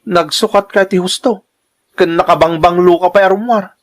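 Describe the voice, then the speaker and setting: A man's voice: high voice, steady tone, normal speed, close to an everyday voice. Man acting a stern, wounded Filipino husband. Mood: suspicious. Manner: stern, accusing questioning. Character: hurt, suspicious husband confronting his cheating wife. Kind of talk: amateur Filipino audio drama acting.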